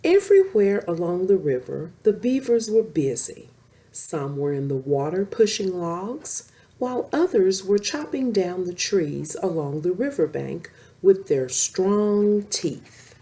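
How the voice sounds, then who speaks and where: A woman reading a bedtime story expressively, as a storyteller speaking to young children. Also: lowish voice. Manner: animated, slow-paced reading addressed to young children.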